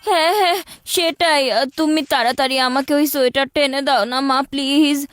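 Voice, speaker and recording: High-pitched, feisty cartoon child. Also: female voice, fairly even intonation, a little fast, a bit bright, dry recording, studio quality. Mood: wheedling. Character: feisty, boastful, scheming young child Chiku. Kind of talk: cartoon dialogue dub.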